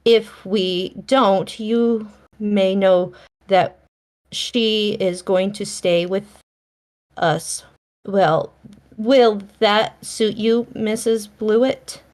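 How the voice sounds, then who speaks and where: Woman reading as strict middle-aged spinster in an old storybook, super slow n halting, mid pitch. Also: a little mellow. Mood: matter-of-fact. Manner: halting, severe wording. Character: stern, reserved middle-aged spinster from a period novel.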